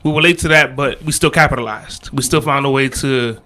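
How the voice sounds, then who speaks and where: A man's voice: medium pitch, fairly quick. A man from the Washington, D.C. area in his thirties, chatting casually as a podcast guest with lots of fillers and restarts. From Washington, D.C. area, United States. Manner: relaxed, many fillers and restarts, slangy. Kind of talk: casual interview chat.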